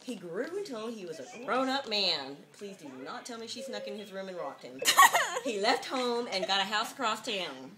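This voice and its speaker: A woman's voice: mid-range voice, big pitch swings, normal speed, very noisy. A woman giving a mock-dramatic reading of a children's picture book, with jokey, sardonic, giggly asides, on an amateur home video.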